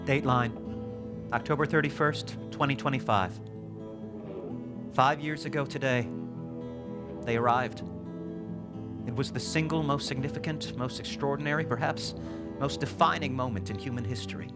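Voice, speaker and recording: A man playing a grave public radio documentary host, narrating in a measured, scripted way with dramatic pauses. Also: medium pitch, close to an everyday voice. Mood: solemn. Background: music under the voice.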